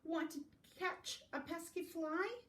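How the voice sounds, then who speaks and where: A woman's voice: extremely high pitch, slow pace, fairly bright tone, echoey room, far from the mic, pitched above the performer's own voice, exaggerated voice. A woman voicing friendly, eager storybook farm animals with singsong calls and inviting questions. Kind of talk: picture-book character voices.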